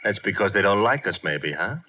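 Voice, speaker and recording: An actor playing a wisecracking, flippant detective's assistant in a 1950s radio drama. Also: male voice, on the low side, lively intonation, brisk. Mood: wry. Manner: flippant, wry, snappy. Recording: dull, noisy old radio recording.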